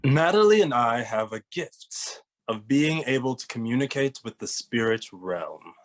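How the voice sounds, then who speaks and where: A man reading a serious, formal young detective for ghosts in an animated comedy table read. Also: mid-range voice, unhurried, close to an everyday voice, low-quality recording. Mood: solemn.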